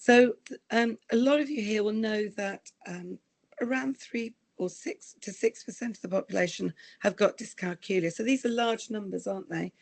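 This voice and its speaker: A woman with a croaky voice from recent illness, giving an earnest, explanatory online presentation. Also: mid-range voice, moderate pace. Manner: earnest, explanatory, asks for slide changes. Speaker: guest presenter.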